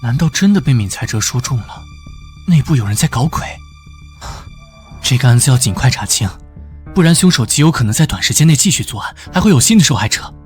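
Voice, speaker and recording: A man playing an earnest young police detective in an animated drama, serious and brooding. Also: medium pitch, fairly quick, faint music behind, natural voice. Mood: earnest, focused.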